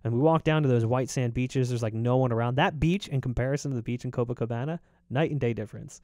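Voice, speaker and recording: A man in his thirties, a podcast host, telling animated stories over a video call with lots of filler words. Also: mid-range voice, fast talker, no echo, studio quality. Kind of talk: casual chat, storytelling. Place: home room, indoors. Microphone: desk mic on video call, headphones.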